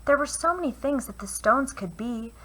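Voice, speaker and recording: Bright high-voiced woman reading a storybook. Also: expressive, moderate pace. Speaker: teacher reading a picture book aloud. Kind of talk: children's storybook read-aloud. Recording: noisy home recording.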